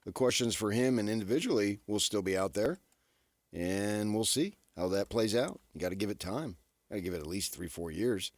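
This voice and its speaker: A man hosting sports talk radio, casually musing in halting, repeated phrases for emphasis. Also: on the low side, no room sound, studio quality.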